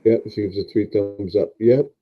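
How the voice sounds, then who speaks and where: Man, 70s, low voice, rambling, filler-heavy, casual live stream host, muffled lo-fi sound. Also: fairly even intonation, normal speed, husky. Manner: rambling, lots of fillers and restarts.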